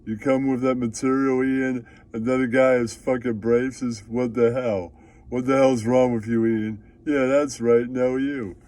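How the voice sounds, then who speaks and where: A man's voice: medium pitch, steady tone, a little mellow, natural voice. A man playing a foul-mouthed, aggrieved puppet character who blusters indignantly in an improvised comic monologue. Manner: blustering, swearing, drawn-out indignation.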